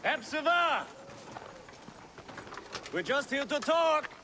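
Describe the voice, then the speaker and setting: An actor playing a menacing soldier in a video game, calling out with a coaxing threat. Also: male voice, very high-pitched, big pitch swings, takes it slowly, background noise, full character voice. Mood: falsely reasonable. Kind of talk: video game cutscene acting.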